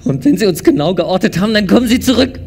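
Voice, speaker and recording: A terrified teenage boy in a radio drama, acted by a man on stage. His voice climbs high and breaks into desperate, paranoid shouts. There is clear noise behind him. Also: lively intonation, fast. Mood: paranoid, agitated. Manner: shouting, crying out in terror. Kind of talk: dramatic live radio play reading.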